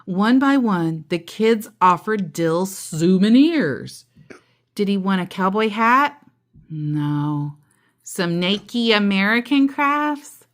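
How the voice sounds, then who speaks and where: A woman's voice: medium pitch, animated, slow pace. A woman reading a children's story aloud expressively, with casual asides along the way.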